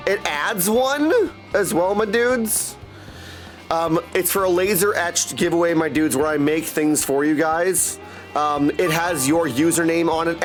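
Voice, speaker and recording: A high-voiced man hosts a game livestream over soft music. He talks casually to his viewers, jumping from one reply to the next and leaning on catchphrases. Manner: chatty, hops between chat replies, repeats catchphrases. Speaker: livestream host playing a video game; voice actor and audiobook narrator. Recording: music playing behind the voice.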